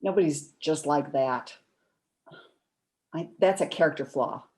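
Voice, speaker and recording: A woman's voice: deep voice, a little slow, darkish tone. A woman acting a coy, sheepish friend who guards a secret, giving hesitant, evasive replies in a conversational comic one-act.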